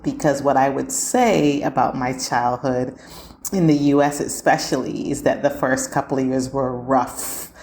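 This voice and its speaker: A woman's voice: a bass voice, a little mellow, slight background noise. A woman in her forties from Barbados and the United States, telling her own story reflectively as a podcast guest. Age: 40s. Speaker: podcast guest, school counselor.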